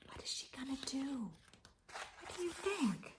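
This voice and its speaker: A woman storyteller reading a children's picture book aloud in a dramatic, expressive way for young listeners. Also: sits high, a little slow, a little hiss or hum. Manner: dramatic, drops into whispers, addresses young listeners.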